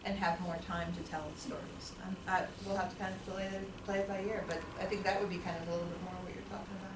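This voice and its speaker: A woman leading a casual group discussion, chatty and quick to laugh, recorded from across a meeting room. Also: medium pitch. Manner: chatty, self-deprecating, laughs easily. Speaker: group organizer. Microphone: room mic from a distance. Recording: echoey room, distant, noisy.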